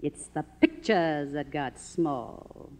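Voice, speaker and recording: An actress playing a middle-aged, faded silent film star in a 1950s radio drama, grandiose, bitter and theatrical. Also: female voice, deep voice, animated, takes it slowly, darkish tone. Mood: bitter, scornful. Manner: theatrical, imperious, declamatory.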